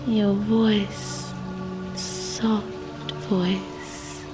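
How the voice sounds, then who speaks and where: Soothing woman lover: mid-pitched, unhurried, gentle with quiet unease, music behind. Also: flat delivery. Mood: eerily tender. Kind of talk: audio fiction acting.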